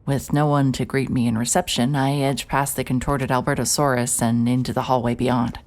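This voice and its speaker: A woman playing a hard-hearted, stubborn private investigator in an audio drama, narrating in a wry, terse, deadpan way. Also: extremely low pitch, moderate pace. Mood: brooding, observant. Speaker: narrator and lead actor.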